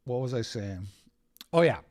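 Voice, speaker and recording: A man with a raspy voice hosting a casual livestream, rambling, sarcastic and quick to get irritated. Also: medium pitch, lively intonation, a little mellow. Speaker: host.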